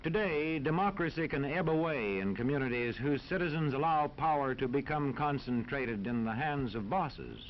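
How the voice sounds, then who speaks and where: Animated American man narrating, vintage film sound. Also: mid-range voice. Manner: formal, authoritative, measured. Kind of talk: 1940s educational film narration, scripted. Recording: dull 1940s film soundtrack, faint noise.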